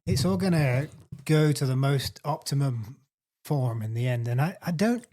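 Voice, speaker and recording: A man's voice: medium pitch, lively intonation, normal speed, a little mellow. A man co-hosting a cycling podcast, giving his opinion in casual chat and restarting his phrases as he goes. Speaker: podcast co-host.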